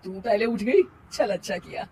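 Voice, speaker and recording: A woman's voice: mid-range voice, expressive, moderate pace, warm tone, a little hiss or hum, natural voice, poor audio. A woman playing a tired older mother-in-law in a family cartoon, nagging and sarcastic with emphatic delivery. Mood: sarcastic surprise.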